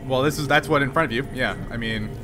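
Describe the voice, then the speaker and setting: A man's voice: mid-range voice, fast. Rambling male game master. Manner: loose, rambling, restarts while describing. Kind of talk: narrating a tabletop game. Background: background music.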